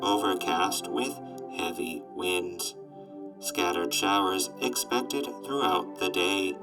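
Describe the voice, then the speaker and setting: A man voicing an emotionless robot that reads a scripted weather forecast in an audio drama, mechanical and literal. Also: sits low. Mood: mechanical, neutral. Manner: monotone, mechanical, literal, halting. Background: new-age music.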